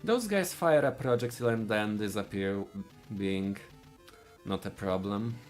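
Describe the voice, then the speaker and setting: A man from Poland with a Polish accent, giving live speedrun commentary in a halting way, often restarting his sentences. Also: lowish voice, faint music behind. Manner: halting, searching for words, frequent restarts.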